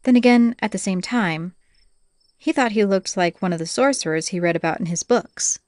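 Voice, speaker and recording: A woman's voice: mid-range voice, normal speed, darkish tone, dry recording, clean studio sound. A woman reading scripted fantasy audiobook narration as the storyteller.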